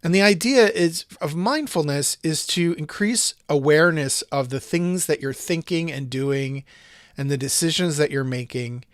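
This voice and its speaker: A man's voice: a little high, moderate pace, dry recording, clean studio sound. A man hosting a casual discussion podcast, thinking aloud in long, rambling sentences full of restarts and asides. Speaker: podcast host.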